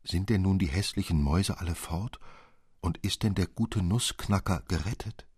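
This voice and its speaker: A man's voice: low-pitched, moderate pace, darkish tone, breathy edge, dry recording, clean studio sound. A man voicing an anxious, reproachful little sick girl in a radio fairy tale. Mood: anxious, soft.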